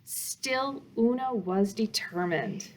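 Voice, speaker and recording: A woman's voice: medium pitch, lively intonation, slow pace, slight background noise, rough recording. A woman reading a children's picture book aloud as a storytime reader, with dramatic emphasis meant to engage young listeners.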